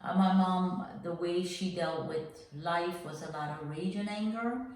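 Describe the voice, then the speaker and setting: An older woman from Guyana telling her life story as a podcast guest, earnest and reflective, with frequent pauses. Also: on the low side, darkish tone, heavy reverb, distant mic.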